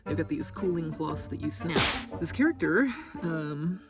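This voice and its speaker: American female voice actor, lower register, informal behind-the-scenes chat, a bit off-mic, music underneath. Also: expressive, moderate pace, distant mic. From United States. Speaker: voice actor, video host. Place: small recording booth.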